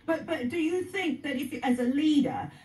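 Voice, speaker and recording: A woman's voice: high voice, moderate pace, a little mellow, echoey room. A woman presenting a TV debate in a conversational way, heard as television sound replayed in a room. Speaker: panel discussion presenter.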